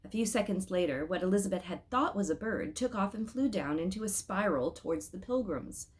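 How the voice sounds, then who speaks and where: A woman reading a storybook aloud as an expressive narrator. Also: mid-range voice, brisk. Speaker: storybook reader.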